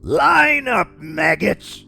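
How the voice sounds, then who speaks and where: A commanding military drill sergeant, acted by a man, barks orders in a high, gravelly voice. He addresses recruits sternly in audio drama style, over music. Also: very animated, very slow, full character voice. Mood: barking. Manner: commanding, shouted military delivery.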